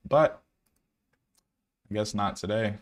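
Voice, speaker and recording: A man's voice: fairly low pitch, slow. A young man from Ghana chatting casually on a live trading stream, laid-back, with lots of "like" and "um", answering chat questions. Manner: relaxed, laid-back, many fillers, reads out chat questions. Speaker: day trader streaming live.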